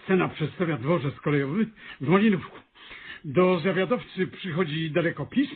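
High, husky, nervous stationmaster. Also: male voice, normal speed. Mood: eager, nervous. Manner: stammering, hesitant, eager, solemn. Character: nervous, earnest stationmaster with lofty literary ambitions. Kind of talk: Polish radio drama acting. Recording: muffled, band-limited radio recording.

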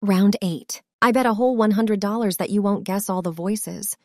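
A woman's voice: medium pitch, normal speed, no room sound. Upbeat woman announcing quiz rounds. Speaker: quiz host. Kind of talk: scripted quiz narration.

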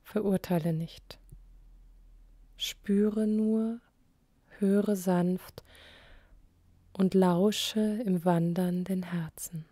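Female poetry reader: extremely unhurried, warm soft tone, breathy line endings, measured pauses. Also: mid-range voice, fairly even intonation, no room sound. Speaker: poet. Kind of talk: spoken poetry recitation in short phrases.

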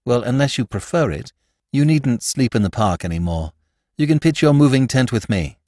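Man playing a suave, animated gentleman thief. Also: medium pitch, moderate pace, dry recording, studio quality, lightly acted. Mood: brisk. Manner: articulate, teasing, assured.

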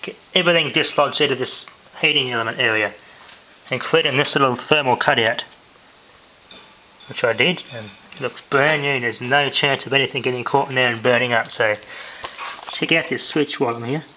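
A man's voice: medium pitch, normal speed, bright, forceful tone. A man giving casual, off-the-cuff commentary while doing hands-on repair work, muttering to himself as he goes. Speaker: DIY vlogger. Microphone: handheld camera's built-in mic. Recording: noisy handheld camera audio with clicks.

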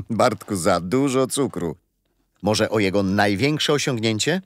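A man's voice: lowish voice, expressive, slow pace, dry recording, studio quality. An actor playing a kindly older grandfather who patiently tells stories and history in a children's radio play. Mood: playful. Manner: patient, playful teasing. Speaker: storyteller grandfather.

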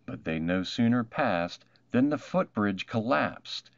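A man narrating a rhyming children's storybook in an expressive, measured way. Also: on the low side, vocal fry, no echo, dull audio. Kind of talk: rhyming picture book read-aloud.

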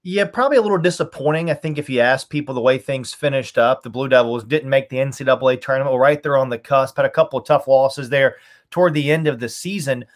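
A man's voice: medium pitch, fast. A man in his thirties, a sports announcer, talking conversationally as a podcast guest on a video call from home. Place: small home room, indoors.

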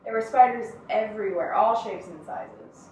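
A woman's voice: mid-range voice, moderate pace, darkish tone, close to an everyday voice. A woman acting a dramatic monologue as a plain-spoken, wry woman reminiscing in a conversational, reflective way. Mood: wistful. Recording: very echoey room, distant mic, faint noise.

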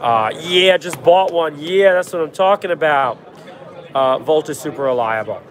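A young man hosting a casual livestream from a trade show floor, answering chat in a quick, offhand, joking way, his voice worn from a cold. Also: fairly high pitch, expressive. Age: young adult. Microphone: phone held at arm's length. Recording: noisy crowded convention hall. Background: crowd chatter.

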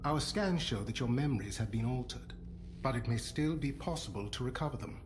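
Casting: actor for cerebral male military technologist, calm and matter-of-fact, formal diction, video game. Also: medium pitch, brisk, music in the background, natural voice. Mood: clinical. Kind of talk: video game cutscene acting.

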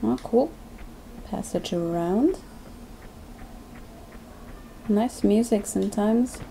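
Woman, let's-play commentator, warm low-key tone, light hiss under the voice, chatty and self-mocking. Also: slow, creaky, low-quality recording. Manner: chatty, self-deprecating, thinking aloud. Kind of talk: casual gameplay commentary.

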